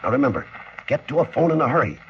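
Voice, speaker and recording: An actor playing a brave, protective adventurer in a 1940s-style radio serial, taking charge with urgency and reassurance. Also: male voice, mid-range voice, very animated, very fast, close to an everyday voice. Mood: firm, protective. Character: Bill, brave protective adventurer, grown man. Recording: noisy, muffled vintage radio recording.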